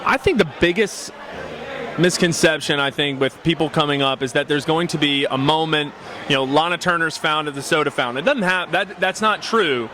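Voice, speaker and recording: Earnest man with a bright voice. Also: fairly high pitch, normal speed. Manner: earnest, motivational. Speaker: pop group singer. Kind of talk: reflective interview answers.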